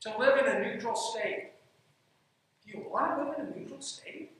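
A man's voice: very high-pitched, normal speed, a bit bright. A man giving an emphatic stage talk on leadership and coaching, often repeating his key phrases. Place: dark stage in a large hall. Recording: echoey hall, heard from a distance.

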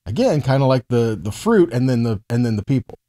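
A man in his forties teaches scripture in a soft-edged, mellow voice at a middle pitch. He moves between reading verses and conversational explanation, stressing key words and putting rhetorical questions to the listener. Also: sing-song, brisk. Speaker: Bible teacher.

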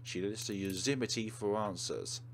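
A man's voice: lowish voice, moderate pace, slight background noise. A man hosting a let's play, reading game narration aloud and adding casual commentary.

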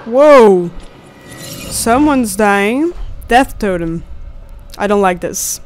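A young woman gives casual, reactive gameplay commentary, swearing freely. Her pace is slow and she speaks close to a studio mic, with clear game ambience behind her. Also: medium pitch, lively intonation, slight background noise. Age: young adult. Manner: reactive, exclamatory, profanity-laced. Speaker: gaming video host. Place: indoors at a home recording setup. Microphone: close studio condenser mic. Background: horror game ambience.

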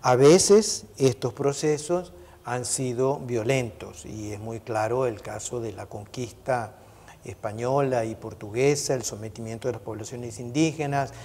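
A man's voice: medium pitch, mellow, slight background noise. Professorial older man from Argentina, roomy reverb. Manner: measured, explanatory, professorial. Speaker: interview guest, retired professor. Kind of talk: explanatory interview talk. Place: brick-walled indoor room, television set. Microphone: lapel mic. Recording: reverberant room echo.

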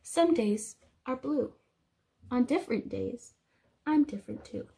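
A young woman reading a picture book aloud to small children, expressive and sing-song, explaining things simply as a teacher would. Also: a little high, measured pace, gravelly, slightly rough. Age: young adult. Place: small indoor room.

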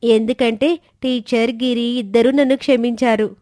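A woman's voice: fairly high pitch, steady tone, normal speed, mellow, no echo, studio quality, natural voice. A woman voicing a hot-tempered, arrogant schoolboy in a children's moral story. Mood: apologetic.

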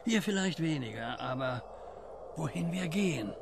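A man acting a grave, insistent older neighbour in a German radio drama, slow and urgent. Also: on the high side, expressive, vocal fry, heavy background noise. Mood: grave, troubled. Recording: loud music and effects behind.

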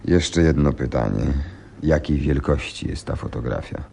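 An actor playing a shrewd, self-assured gentleman detective, precise and theatrical, in a radio play. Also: extremely low pitch, expressive, moderate pace, creaky, slight background noise, full character voice. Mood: businesslike, probing. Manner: precise, inquisitive, theatrical storytelling.

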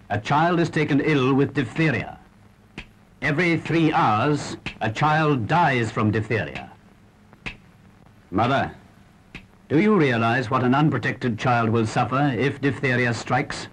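A man's voice: mid-range voice, lively intonation, unhurried, a little hiss or hum. A man giving grave, urgent, emphatic narration for a 1940s public health film.

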